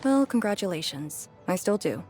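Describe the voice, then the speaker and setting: A woman's voice: fairly low pitch, very animated, normal speed, darkish tone, faint music behind. A woman voicing a composed, blunt, brooding woman warrior in a video game, with a dry, understated delivery.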